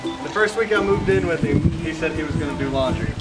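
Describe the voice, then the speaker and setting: A man's voice: on the high side, fairly quick, far from the mic, over loud music, low-quality recording. A man giving a casual, joking wedding toast outdoors and telling a short story about the groom.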